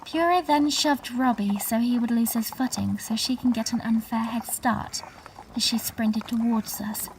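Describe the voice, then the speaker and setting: A woman playing a gentle young princess who narrates her memories in a scripted audio drama, measured in delivery. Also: fairly high pitch, slight background noise, close to an everyday voice, rough recording. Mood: wistful, reflective. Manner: measured, storytelling.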